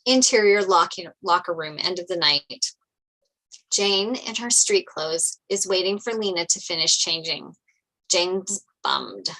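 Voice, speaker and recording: A woman reading script narration and stage directions aloud at a table read in an animated storytelling way. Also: fairly low pitch, a little slow, low-quality recording.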